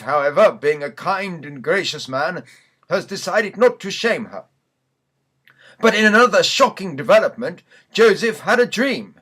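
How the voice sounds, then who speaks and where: A man's voice: sits high, fairly bright tone. A man reading a scripted mock news bulletin as the newsreader in a church sketch.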